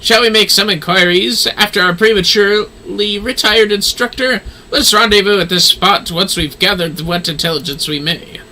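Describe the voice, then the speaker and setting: A man's voice: high voice, moderate pace, few pauses, pushed and bright, a little hiss or hum, full character voice, poor audio. A man voicing a courtly, inquisitive fantasy-game companion with formal, archaic phrasing. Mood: dry, courtly.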